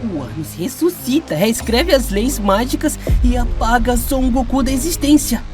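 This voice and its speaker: A man voicing an earnest young anime debater, delivering emphatic, declarative lines in a scripted animated debate. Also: very high voice, normal speed, music in the background. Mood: firm, decisive.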